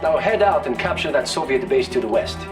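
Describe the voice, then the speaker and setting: An actor playing a brisk, determined Japanese field commander in a war game, terse and commanding. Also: male voice, medium pitch, lively intonation, lightly acted. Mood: urgent, commanding. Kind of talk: video game character dialogue. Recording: distant sound under game music. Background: game music.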